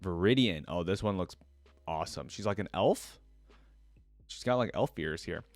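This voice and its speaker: A man's voice: low voice, lively intonation, normal speed, dry recording, soft background music. A man talking casually and enthusiastically as a reaction video host, commenting and reading text aloud.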